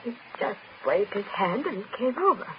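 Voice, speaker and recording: An actress playing a frightened, distraught young daughter, halting and emotional, in a 1940s radio thriller. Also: female voice, medium pitch, big pitch swings, close to an everyday voice. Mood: anxious, halting. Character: distraught, frightened grown daughter confessing to her father. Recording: muffled, noisy 1940s radio broadcast.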